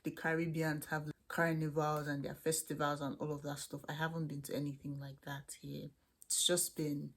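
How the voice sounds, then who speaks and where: A young woman with a Nigerian accent chatting candidly as she answers viewers' questions about student life and faith. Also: deep voice. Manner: chatty, candid, with frequent restarts. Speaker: international student vlogger. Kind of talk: casual chat answering questions to camera.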